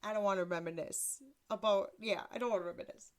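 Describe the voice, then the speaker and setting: A woman in her thirties with a deeper voice, hosting a casual solo podcast in a blunt, crude, self-mocking way and laughing often. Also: steady tone, quick pace. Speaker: podcast host.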